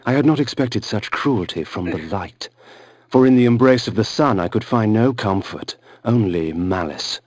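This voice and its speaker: A man's voice: on the low side, lively intonation, normal speed, darkish tone, no echo. An actor playing a brooding, vengeful vampire nobleman, narrating a game in a theatrical, grave and ornate manner. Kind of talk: dramatic scripted game narration.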